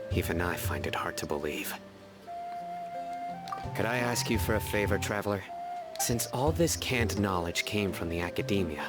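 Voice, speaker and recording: Game role: composed, stern young male investigator played by a man, low and deliberate, music behind. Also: natural voice. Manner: measured, serious, deliberate. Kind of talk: video game voice acting.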